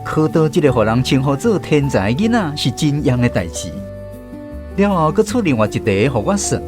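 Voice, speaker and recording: A man's voice: mid-range voice, big pitch swings, normal speed. A man narrating a village story in a radio drama, looking back on his childhood. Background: music.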